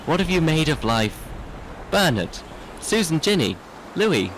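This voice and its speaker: A man's voice: a little high, sing-song, a little slow, a bit bright, slight background noise. An actor playing an intense, slightly drunk middle-aged man in a literary radio drama, probing and rhetorical. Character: tipsy, intense middle-aged man, needling old friends.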